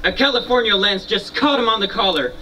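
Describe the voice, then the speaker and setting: A man's voice: high-pitched, expressive, a little fast, bright, forceful tone, full character voice. A man playing a proud, cocky Californio lancer, haughty and taunting, in a live historical dramatization. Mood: excited. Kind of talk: live historical battle dramatization read from script. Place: outdoor stone amphitheater, far camera. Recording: noisy, distant outdoor recording.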